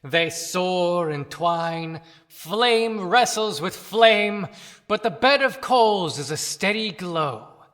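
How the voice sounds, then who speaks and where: A reflective, philosophical soul voiced by a woman delivers a poetic monologue. The speech is very slow and bright-toned, echoing in a long hall reverb. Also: lowish voice, expressive. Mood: intense, reflective.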